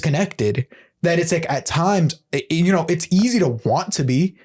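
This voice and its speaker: A young man from the United States, co-hosting a podcast in casual, animated chat, telling stories full of fillers and swearing. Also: on the high side, normal speed, slightly rough. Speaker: podcast co-host.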